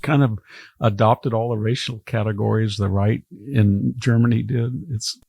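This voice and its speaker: A man's voice: fairly low pitch, steady tone, moderate pace, vocal fry, dark tone, breathy edge, no echo. An older man, a historian, talking casually in a podcast, wandering from one anecdote to the next. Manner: rambling, anecdotal storytelling. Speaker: historian guest.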